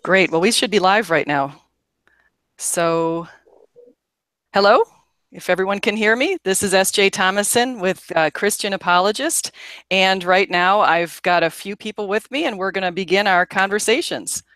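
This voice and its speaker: A woman hosts a casual panel, her voice smooth and clear at a middle pitch. The sound is dry and close, and she moves the talk along with the odd uh. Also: normal speed, a bit bright, no room sound. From United States. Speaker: host. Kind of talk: hosting a casual chat.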